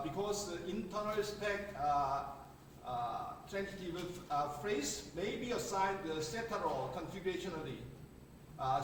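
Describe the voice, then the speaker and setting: A man with a Korean accent giving an academic conference talk, halting, with many filler sounds and restarts. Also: on the high side, slight background noise. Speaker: conference speaker. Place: large conference hall. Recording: echoey hall recorded from far off.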